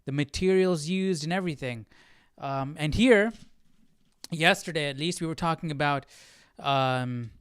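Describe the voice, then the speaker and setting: A man hosting a live Bible study in a casual, halting, conversational way, often checking in with listeners as he explains. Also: fairly high pitch, a bit bright, smooth, no room sound, studio quality. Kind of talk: casual livestream Bible teaching.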